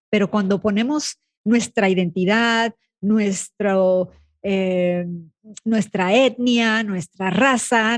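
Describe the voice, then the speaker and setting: A woman co-hosting a radio panel, talking thoughtfully about faith with many pauses and fillers. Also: mid-range voice, a little mellow, no echo. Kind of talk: reflective faith discussion.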